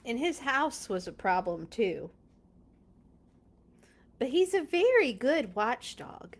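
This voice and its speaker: A woman reading a children's picture book aloud in an expressive, playful way, with chatty casual asides. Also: a little high, slow pace.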